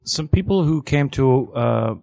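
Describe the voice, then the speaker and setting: A man chatting casually on a podcast, rambling and halting with frequent restarts and fillers. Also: mid-range voice, expressive, gravelly, darkish tone, dry recording. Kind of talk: casual chat.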